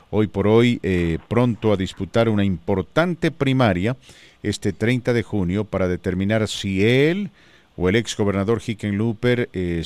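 Low smooth-voiced male radio host. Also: no room sound. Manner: lively questioning with frequent fillers. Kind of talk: Spanish-language radio interview. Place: radio studio. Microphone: studio microphone.

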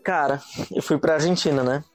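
A man's voice: a little high, brisk, soft background music. A young man, a guest in a casual chat, telling stories in an easygoing, slangy way. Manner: easygoing storyteller, slangy, laughs often.

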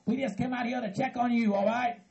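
A man voicing an earnest Southern hillbilly kinsman who stammers, repeats himself and tries to calm others down. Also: very high-pitched, a little fast, gravelly, muffled recording. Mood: concerned. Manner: stammering, repetitive, pleading for calm.

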